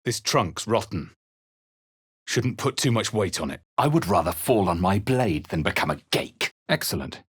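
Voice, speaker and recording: Game protagonist: grave, wry adventurer, low-voiced and very dry, close studio sound, theatrical and deliberate. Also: male voice, lively intonation, fairly bright tone, slightly rough, no echo. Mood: wry, guarded. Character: male adventurer hero, grave, wry, brooding fantasy protagonist. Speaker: video game voice actor. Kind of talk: dramatic fantasy game narration and character barks.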